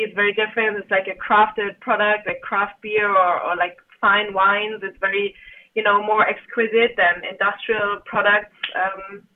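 A young woman, talking loosely and full of fillers as she tells her story. Her voice comes through a thin, phone-like line from a remote call. Her pitch is ordinary for a woman. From Germany. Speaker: guest. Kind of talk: conversational interview answers. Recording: tinny phone-line audio.

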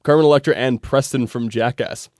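A man's voice: mid-range voice, steady tone, fairly quick, a little mellow, no room sound, clean studio sound. A young man chatting casually as a podcast guest, wry and opinionated. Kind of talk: casual chat.

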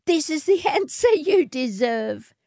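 A woman's voice: sits high, sing-song, unhurried, warm tone, no echo, clean studio sound, voice pushed up, heavily acted. A woman voicing a haughty, scheming young outback heiress in a theatrical manner for a short story. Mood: mocking.

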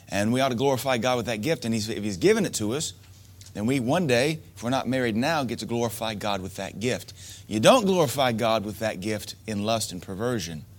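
A man preaching a sermon to men, emphatic and repetitive, asking rhetorical questions. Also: mid-range voice. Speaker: pastor.

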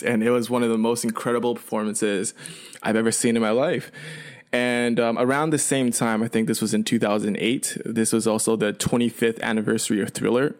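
Young man, Chinese first language, Canadian-raised; low-set voice, loose and rambling with lots of fillers. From China, raised in Canada. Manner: relaxed, rambling, frequent fillers and restarts. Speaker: guest. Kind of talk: casual storytelling.

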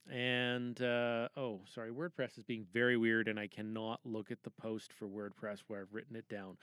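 A man's voice: mid-range voice, fairly bright tone, smooth, no room sound, studio quality. A man hosting a podcast in a rambling, casual, self-deprecating and scattered way, recorded in a booth. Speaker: podcast host.

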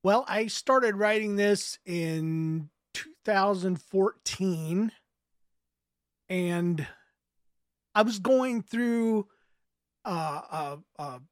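Guy author telling his story, voice on the high side, totally dry recording. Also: extremely slow, long pauses, no room sound. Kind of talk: audiobook narration and reflective interview answers.

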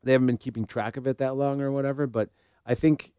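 A man's voice: medium pitch, steady tone, fast, dark tone, smooth, dry recording, studio quality. An American man hosting an informal podcast discussion in an easygoing, conversational way. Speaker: podcast host and practicing therapist.

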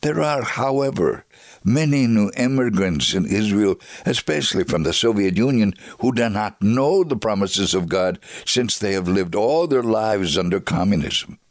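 A low-voiced, solemn, devout storyteller. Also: male voice, lively intonation, normal speed, no room sound, clean studio sound. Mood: earnest. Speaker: dramatic reader. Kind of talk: scripted dramatic reading of a memoir.

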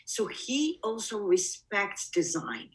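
A woman's voice: mid-range voice, wildly swooping pitch, slow pace, far from the mic, poor audio. A woman from Bulgaria, a production designer being interviewed, talking reflectively with frequent restarts. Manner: reflective, many restarts and "I mean". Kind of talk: conversational interview.

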